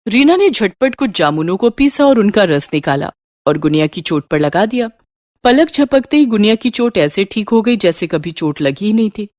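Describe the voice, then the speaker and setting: Woman storyteller, lively dry close sound. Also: medium pitch, moderate pace, no room sound. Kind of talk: scripted moral story narration.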